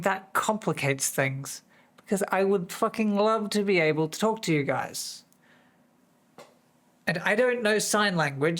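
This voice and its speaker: A streamer with a voice that is going hoarse, chatting casually to viewers in a distracted, rambling way. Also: medium pitch, a bit bright. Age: adult, working full-time. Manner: easily distracted, rambling with many restarts. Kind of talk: casual live-stream chat.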